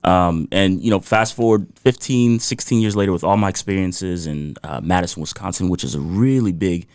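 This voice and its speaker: A man from Ghana speaks as a guest, with a very low voice. He tells his stories easily, laughing often and filling gaps with casual asides. The sound is close and dry, with no room around it. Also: normal speed, darkish tone, no echo. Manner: easygoing, laughs often, leans on "you know". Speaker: guest musician. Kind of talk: casual interview storytelling.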